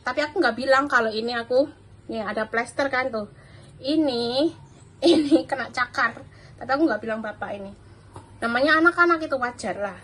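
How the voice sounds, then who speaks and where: A bright, high-pitched woman with a thick Javanese accent talks casually to the camera over breakfast. She chatters on easily and laughs often. The sound comes from a phone set on the counter in a large kitchen. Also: fairly quick, slight background noise. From Java, Indonesia. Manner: chatty, laughs often, mimics others' voices. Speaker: domestic worker vlogger. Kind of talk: casual chat to camera while eating breakfast. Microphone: phone camera on the table.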